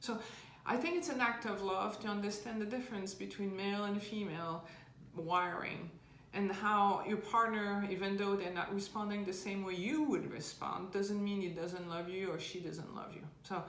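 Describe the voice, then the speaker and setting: A woman's voice: mid-range voice, fairly even intonation, moderate pace, fairly bright tone. Woman, relationship coach, earnest and plain-spoken, roomy echo with a faint hiss underneath. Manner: earnest, explains with homely analogies. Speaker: relationship coach answering viewer questions. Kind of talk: casual advice talk. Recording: roomy with faint background noise.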